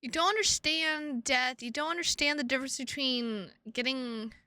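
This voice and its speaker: A woman live streaming games, chatting casually with viewers in an excitable, sweary way and repeating her phrases for emphasis. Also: high voice, normal speed, no echo. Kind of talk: casual livestream chat with viewers.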